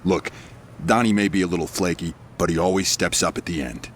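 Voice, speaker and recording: A man's voice: sits low, fairly quick, a little hiss or hum, lightly acted. An actor playing a brash, loyal young male gang lieutenant in a video game, talking in blunt street-casual style. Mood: insistent. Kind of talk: video game cutscene acting.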